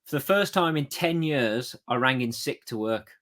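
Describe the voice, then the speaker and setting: A man's voice: medium pitch, animated, normal speed, a bit bright. Chatty man hosting a podcast. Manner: friendly, chatty, a few fillers. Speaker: podcast host. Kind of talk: casual chat.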